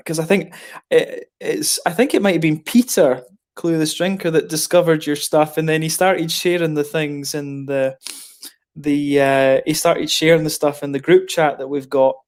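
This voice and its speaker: A man hosting a casual chat on a stream, talking in halting starts and laughing often. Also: fairly high pitch, a little mellow. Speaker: stream host.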